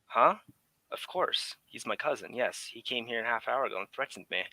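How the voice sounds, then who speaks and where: A man's voice: mid-range voice, expressive, moderate pace, bright, forceful tone, no room sound, rough recording. A man playing a breezy, cynical English aristocrat in exile, in a theatrical radio drama manner. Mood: casual, cynical. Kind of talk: 1940s radio drama acting.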